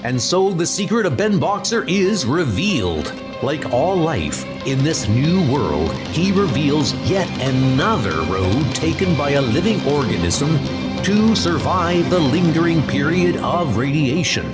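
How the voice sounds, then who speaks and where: Measured, animated male comic narrator. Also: fairly high pitch. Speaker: narrator and character reader. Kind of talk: dramatic scripted comic narration. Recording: music under the voice.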